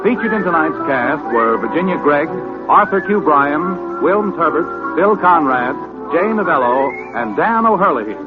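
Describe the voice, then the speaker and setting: Lively high-pitched radio announcer, male. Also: measured pace. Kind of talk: 1940s-50s radio show announcing. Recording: tinny old radio recording, music behind.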